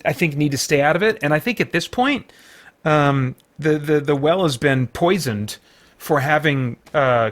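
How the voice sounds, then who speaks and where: Casting: male reviewer, middle-aged, voice a bit on the high side; informal, opinionated commentary that wanders as he thinks out loud. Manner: rambling, informal, thinking aloud. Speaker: reviewer and show host.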